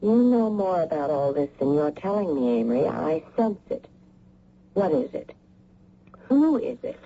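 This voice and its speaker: An actress playing a worried, inquisitive middle-aged wife in a radio mystery drama. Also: female voice, low voice, big pitch swings, a little slow, warm tone, exaggerated voice. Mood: suspicious, insistent. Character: lawyer's wife, inquisitive and worried, mother of a twelve-year-old. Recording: muffled old radio broadcast.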